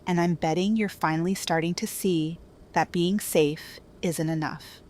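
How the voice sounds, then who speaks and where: A woman's voice: lowish voice, steady tone, a little slow, a little mellow, close to an everyday voice. An actress playing a jaded, cutting woman who bargains hard, speaking in a blunt, confrontational way in an audio drama. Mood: cutting, bitter.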